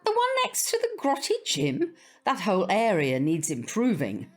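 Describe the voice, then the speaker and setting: A woman's voice: mid-range voice, wildly swooping pitch, normal speed. An actress playing a fussy, snobbish older woman neighbour in an audio drama, prim and proper but polite.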